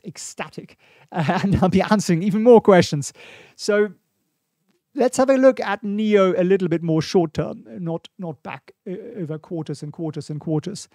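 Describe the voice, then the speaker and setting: A man's voice: on the high side, dark tone, no room sound. German-accented man, dry close mic. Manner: chatty, thinks aloud, reads viewer questions. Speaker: stock market livestream host. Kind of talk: casual live market commentary. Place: small home studio, indoors. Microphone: studio mic on boom in front of face.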